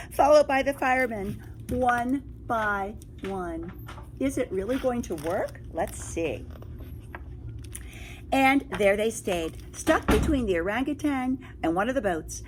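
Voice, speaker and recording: Animated woman reading a storybook aloud. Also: on the high side, a little hiss or hum. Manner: expressive, exclaiming, drawing listeners in with questions. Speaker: teacher reading a picture book to children. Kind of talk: read-aloud storytelling with asides and questions to young listeners.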